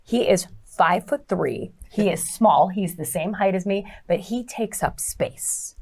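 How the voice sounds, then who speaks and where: A middle-aged woman telling personal stories as an interview guest, in an animated conversational way, acting out bits of dialogue. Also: mid-range voice, a little slow, creaky. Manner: animated storyteller, acts out quoted dialogue. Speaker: guest, dating and relationship coach.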